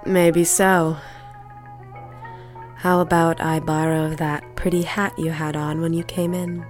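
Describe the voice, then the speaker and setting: A woman's voice: low-pitched, level tone, slow pace, faint music behind. A woman playing a nervous, prickly, reclusive housewife in a period audio drama, blunt and guarded.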